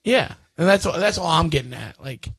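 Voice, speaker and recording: A man chatting casually as part of a relaxed podcast conversation among friends. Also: a little high, normal speed, breathy edge, no room sound. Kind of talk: casual chat.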